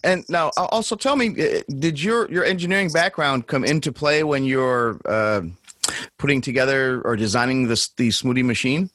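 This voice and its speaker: A man's voice: medium pitch, moderate pace, fairly bright tone, dry recording, clean studio sound. A man hosting a podcast, asking questions in a conversational interview style. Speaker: podcast host, magazine editor.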